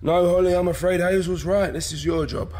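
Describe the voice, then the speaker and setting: An actor playing a blunt, rough-and-ready male rabbit fighter with a husky voice in a radio drama. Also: sits high, fairly even intonation, fairly quick, warm tone, a little hiss or hum, natural voice. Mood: firm. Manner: rough and ready, plain-spoken.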